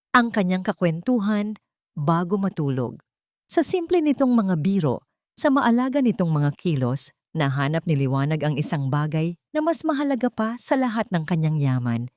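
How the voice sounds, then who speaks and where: A woman with a Filipino accent narrating a romance drama in an emotive, expressive storytelling manner. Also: mid-range voice, dry recording, studio quality.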